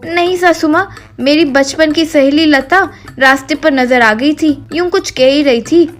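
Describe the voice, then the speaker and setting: A woman voicing an eager, naive young wife who chatters and explains excitedly in a comic story. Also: high-pitched, measured pace, faint music behind, voice pushed up. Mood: defensive.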